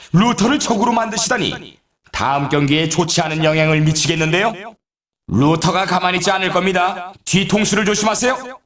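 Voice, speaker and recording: A man playing an excitable, teasing snowboard race announcer in a video game, delivering dramatic mock warnings as scripted commentary. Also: high voice, roomy sound, recorded from a distance.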